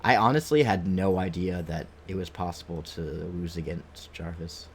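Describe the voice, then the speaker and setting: A man's voice: deep voice, normal speed, a little hiss or hum. A man giving casual, rambling live gameplay commentary as a streamer, thinking aloud as he goes. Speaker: video game streamer.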